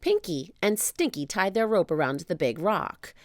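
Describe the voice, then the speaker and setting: A woman reading a children's book aloud as an expressive storyteller. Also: on the low side, moderate pace, dry recording, studio quality.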